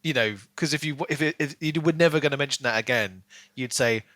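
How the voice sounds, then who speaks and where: A man's voice: mid-range voice, fairly quick, dry recording. A man chatting casually and animatedly as a podcast co-host in a book discussion. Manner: animated, acts out imagined lines in playful voices.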